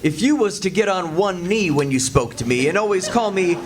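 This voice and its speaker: Guy doing a sly old fake king in a radio play, highish voice that swings around, folksy but grand and mournful. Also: animated, brisk, a crowd in the background. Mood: haughty. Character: older sly con man posing as exiled French king. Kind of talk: live old-time radio theatre acting. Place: live stage before an audience. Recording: live stage, faint background noise.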